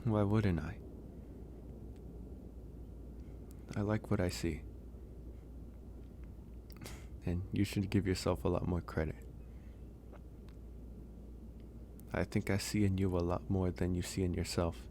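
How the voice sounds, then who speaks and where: A man acting a gentle, flirtatious, lonely werewolf in a soft-spoken ASMR romance roleplay. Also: sits low, flat delivery, a little hiss or hum. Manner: soft-spoken, unhurried, reassuring.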